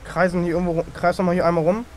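High-voiced man barking directions. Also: flat delivery, a little hiss or hum. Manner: barks quick driving directions, laughs often. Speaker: streamer playing a criminal character. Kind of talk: casual roleplay chat over voice chat.

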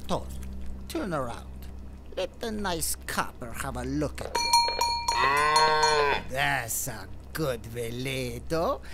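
A man's voice: medium pitch, animated, slow pace, pauses often, heavy background noise. A man voicing a talking Campari bottle, a pushy mobster shopkeeper who talks in menacing wiseguy patter full of uh fillers. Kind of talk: comedy audio drama acting.

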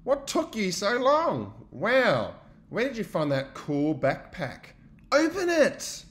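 A man playing small storybook animals, very high, shrill, impatient, excitable, exclamatory. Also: slow pace, exaggerated voice.

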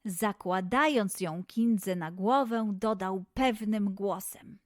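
A woman narrating and hosting a children's story podcast, deliberately addressing young listeners. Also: mid-range voice.

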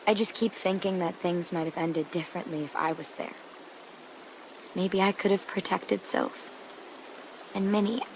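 A woman's voice: on the low side, fairly even intonation, normal speed, long pauses, lightly acted. Woman as guarded teen girl, nature noise behind. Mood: guilty, regretful. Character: guarded, guilt-ridden teenage girl survivor. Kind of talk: naturalistic video game drama acting. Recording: clear outdoor nature ambience behind.

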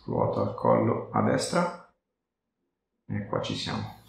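A man's voice: a bass voice, wildly swooping pitch, slow, vocal fry. A man, giving hands-on instructions and asking questions as a chiropractor. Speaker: chiropractor treating a patient. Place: small treatment room, indoors. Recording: very echoey room, distant mic, faint noise.

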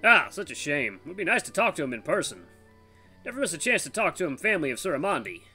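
A man's voice: fairly high pitch, very animated, fast talker, bright, forceful tone, slightly rough, faint music behind, pitched above the performer's own voice, exaggerated voice. A man voicing a hearty, folksy tiger in his fifties with a British accent, in a visual novel read-aloud. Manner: folksy, hearty, calls people lad and mate. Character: cheerful chatty tiger man in his fifties, war refugee.

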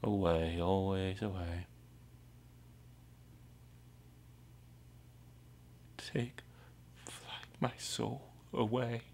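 A man acts out a ragged, mad prophet in a dramatic monologue. The voice sits fairly low and moves very slowly, brooding and anguished. It shifts between hushed, breathy whispering and ringing, theatrical cries. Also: slight background noise. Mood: desolate.

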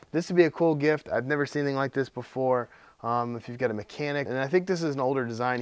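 A man's voice: mid-range voice, clean tone, dry recording. A man in his thirties with a cold, casually showing off 3D-printed gifts in his home workshop, rambling with lots of ums. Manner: relaxed, rambling, with many ums and uhs. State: getting hoarse from a cold. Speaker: 3D printing YouTuber. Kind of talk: casual show-and-tell.

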